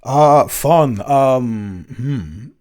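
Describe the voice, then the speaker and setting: A man chatting casually as a podcast writer in a Q&A, speaking in a halting, hesitant way with stammered word starts. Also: medium pitch, expressive, a little mellow. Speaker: podcast writer and co-creator answering listener questions. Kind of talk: casual Q&A chat.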